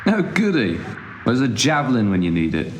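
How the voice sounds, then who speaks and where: A man's voice: mid-range voice, huge pitch swings, moderate pace. Echoey, sardonic young brother, acted by a man. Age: young adult. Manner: dry, sarcastic, wordy wit. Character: cynical, sardonic younger brother, aimless and unemployed. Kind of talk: naturalistic audio drama dialogue. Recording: echoey room, faint background noise.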